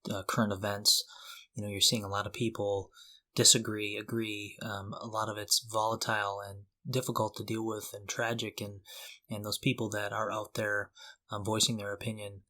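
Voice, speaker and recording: A man's voice: fairly low pitch, flat delivery, moderate pace, sharp tone. Earnest man co-hosting a podcast. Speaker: podcast co-host. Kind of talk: conversational podcast discussion.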